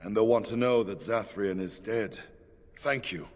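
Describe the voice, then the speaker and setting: Man playing slow-spoken, earnest fantasy game character. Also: on the low side, natural voice. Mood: grateful. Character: earnest, grateful man freed from a werewolf curse. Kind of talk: fantasy video game acting.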